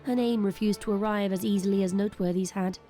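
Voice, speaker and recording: A woman's voice: mid-range voice, fairly even intonation, fast talker, mellow, soft background music. A woman narrator reading a scripted, dramatic story.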